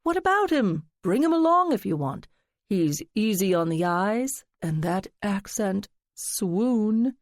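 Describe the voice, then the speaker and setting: A woman's voice: mid-range voice, big pitch swings, slow, no room sound. A woman narrator plays a flamboyant, theatrical man in an audiobook, his voice swooping up and down with showy flair. He calls people "darling" and savors every dramatic word. It is recorded close and dry, with no room sound. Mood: flirty, playful. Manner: theatrical, drawling "darling", flourishing. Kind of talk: audiobook character voice.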